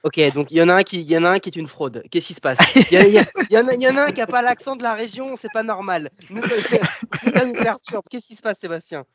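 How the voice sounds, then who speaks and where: A man co-hosting a casual podcast chat, joking and teasing his guests, rattling off news items quickly. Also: sits high, lively intonation, dull audio. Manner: joking, teasing, rapid-fire news reading. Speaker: podcast co-host.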